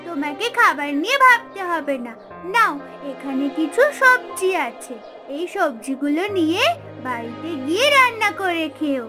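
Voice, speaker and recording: Tiny high cartoon girl, kind n earnest, loud music behind her. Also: big pitch swings, normal speed. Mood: reassuring. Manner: earnest, coaxing, affectionate. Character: kind, caring little village girl, cheerful and trusting. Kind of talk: animated fairy-tale dialogue.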